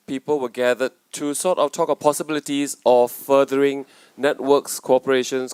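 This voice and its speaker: A man moderating a conference panel, setting up context in a halting way with many restarts. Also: mid-range voice, a little mellow, smooth, dry recording. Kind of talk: introducing a panel. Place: conference room.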